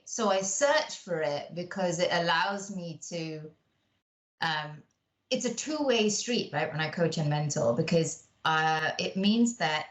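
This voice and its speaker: Low-pitched woman in an echoey room. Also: a bit bright. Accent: British. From Malaysia. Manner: reflective, often adds "right?" as a tag. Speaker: podcast guest, mentor and coach. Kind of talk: conversational interview. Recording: roomy, echoey sound.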